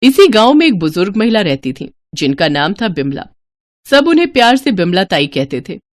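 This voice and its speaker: A woman's voice: mid-range voice, sing-song, normal speed, no room sound, studio quality. A woman narrating a scripted moral story as a storyteller.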